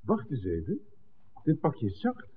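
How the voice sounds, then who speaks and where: Assured village doctor, reverberant, tinny. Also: male voice, mid-range voice, wildly swooping pitch, measured pace, slight background noise. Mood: calm, curious. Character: assured, matter-of-fact male village doctor. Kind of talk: 1960s Dutch radio play acting. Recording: tinny old radio recording, long reverb.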